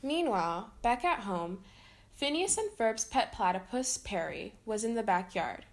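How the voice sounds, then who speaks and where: A woman with a fairly high voice reads a children's story aloud. She delivers it as scripted storytime narration, line by line from the book. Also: sing-song, measured pace. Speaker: storytime reader.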